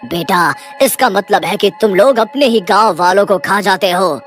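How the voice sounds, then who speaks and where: A woman's voice: mid-range voice, moderate pace, soft background music, lightly acted. A woman voicing a solemn, fatherly tantrik holy man in a cartoon story, grave and instructive. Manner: grave, instructive, addresses others as child.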